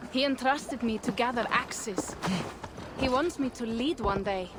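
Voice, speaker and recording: High-voiced proud young Viking woman played by an actress. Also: a little slow, noisy background, lightly acted. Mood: troubled, restless. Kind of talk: video game cutscene acting.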